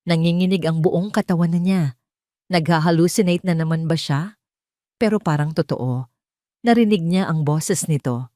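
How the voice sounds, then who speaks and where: A woman with a Filipino accent narrating a scripted drama in an expressive, suspenseful storytelling way. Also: sits low, normal speed, long pauses, no room sound, clean studio sound.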